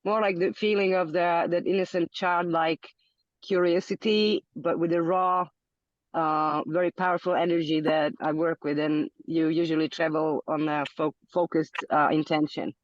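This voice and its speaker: A woman's voice: low-pitched, little pitch movement, normal speed, no room sound. Woman guest, english, kinda muffled dull line, dry sound, restarts a lot. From Hungary. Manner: searching, repeats words when thinking. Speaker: podcast guest. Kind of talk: casual interview talk.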